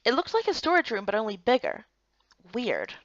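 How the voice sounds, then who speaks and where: High-pitched, expressive woman narrating. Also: normal speed, no echo, highs cut off. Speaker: narrator and voice of game heroine. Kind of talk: voiced game playthrough, story narration.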